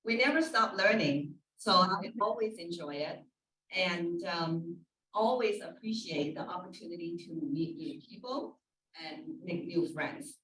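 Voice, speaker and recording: A middle-aged woman speaking as an escrow officer on a panel, friendly and measured. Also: lowish voice, animated, a bit bright. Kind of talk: panel talk. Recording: echoey room, distant mic.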